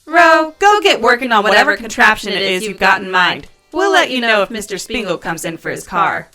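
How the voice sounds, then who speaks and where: A sardonic alien mechanic from an audio drama , played by a woman, with a bright, lively voice. The delivery swings in pitch and stays brisk, bossy and sarcastic, with music underneath. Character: dividing two-headed alien plasmoid mechanic, sardonic and bossy. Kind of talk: scripted audio drama dialogue.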